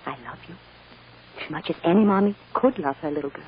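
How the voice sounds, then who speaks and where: A woman's voice: sits low, fast, close to an everyday voice. An actress playing a loving, reassuring American mother soothing her young daughter in an old-time radio drama. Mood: tender, soothing. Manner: tender, reassuring, emotionally earnest. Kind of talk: 1940s-50s American radio drama acting. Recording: dull, noisy old radio recording.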